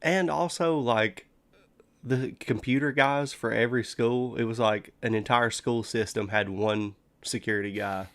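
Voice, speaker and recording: Gravelly man swearing casually. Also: medium pitch, steady tone, a little slow, fairly bright tone. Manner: crude, profane banter. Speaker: stream host. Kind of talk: casual podcast chat.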